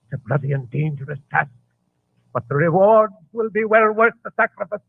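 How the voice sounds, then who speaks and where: An actor in an old radio drama playing a vain, nervous, grandiose leader of a secret society, agitated and given to repeating himself. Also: male voice, sits high, lively intonation, fairly quick, gravelly, no echo, full character voice. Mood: gratified, grandiose. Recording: tinny lo-fi vintage radio recording.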